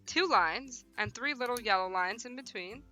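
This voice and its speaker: A woman hosting a livestream, switching between casual slangy chat and reading game text aloud in an animated way. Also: a little high, normal speed, faint music behind. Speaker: host of a visual novel livestream.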